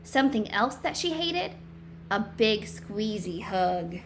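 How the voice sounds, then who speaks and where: A woman reading a picture book aloud to children in an expressive, emphatic way, pausing to explain and ask questions. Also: medium pitch, slight background noise.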